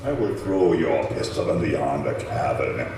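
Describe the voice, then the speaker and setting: A man's voice: very deep voice, expressive. A man playing a vengeful, taunting man-made monster in old-time radio horror style, with menacing, grandiose delivery. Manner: slow, menacing, grandiose declaiming. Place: community center stage, live performance. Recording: roomy live hall, distant mic, faint noise.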